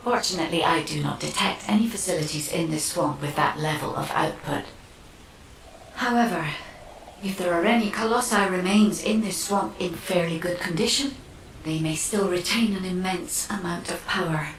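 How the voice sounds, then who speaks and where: An actress playing a calm, analytical science officer in a video game, speaking in a measured, precise and composed way. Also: female voice, fairly low pitch, natural voice. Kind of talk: dramatic video game cutscene acting. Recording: echoey, distant, noisy game audio.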